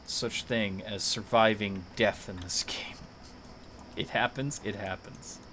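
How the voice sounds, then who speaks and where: A man's voice: fairly low pitch, fairly even intonation, slow pace, pushed and bright, slight background noise. A man chatting casually and thinking aloud as he streams a video game, in a playful manner.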